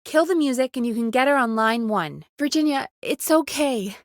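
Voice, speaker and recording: A woman's voice: high-pitched, moderate pace, dry recording, clean studio sound. A woman voice actor playing an earnest, dedicated radio producer who gets exasperated easily, in natural video game dialogue. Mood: caring. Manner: earnest, expressive, exasperated at times.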